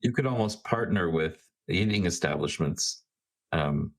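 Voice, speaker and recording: Male tabletop player, low-pitched voice, casual improvised dialogue in his own voice. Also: animated, a little slow, creaky.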